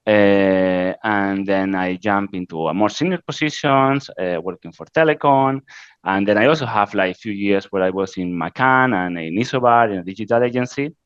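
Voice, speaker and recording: Spanish-accented man, halting and low. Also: clean tone, no echo, dull audio. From Spain. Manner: halting, frequent "uh" fillers, non-native grammar. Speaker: interview guest, creative director. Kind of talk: casual interview answers.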